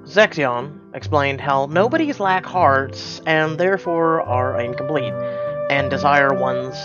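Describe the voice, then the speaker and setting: A man reading scripted story diary entries as a narrator, in a measured, formal manner. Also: mid-range voice, lively intonation, lo-fi sound. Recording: loud music behind.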